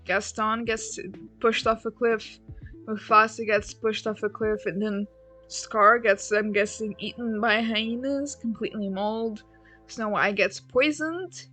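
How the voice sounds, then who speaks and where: Woman, Portuguese native speaker, casual rambling vlog chat, self-deprecating, casual swearing, somewhat high pitch. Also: steady tone, measured pace, bright, forceful tone, faint music behind. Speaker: LEGO build vlogger.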